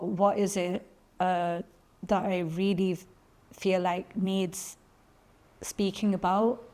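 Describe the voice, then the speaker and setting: A woman poet, answering interview questions thoughtfully and pausing often to find her words. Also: mid-range voice, mellow. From Birmingham, England. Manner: thoughtful, searching for words with frequent ums and restarts.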